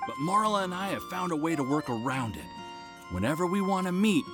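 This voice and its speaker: A man's voice: on the high side, very animated, brisk. An actor playing an anxious, earnest young man in love in a video game, hesitant and stammering. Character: shy, anxious, lovestruck young man, earnest and dutiful son. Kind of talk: video game character acting. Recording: music behind the voice.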